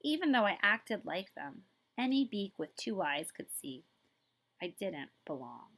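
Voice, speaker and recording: A woman librarian reading a picture book aloud to children in an expressive way, with drawn-out emphasis and a habit of addressing her listeners. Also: mid-range voice. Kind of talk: children's read-aloud storytelling with asides to listeners.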